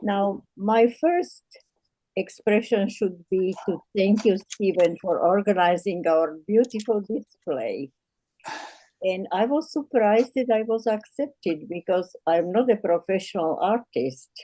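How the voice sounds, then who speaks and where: An older woman from Slovakia with a Slovak accent, telling her personal story as an artist in an earnest, measured way. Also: medium pitch, animated, warm tone, dry recording, poor audio. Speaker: self-taught artist.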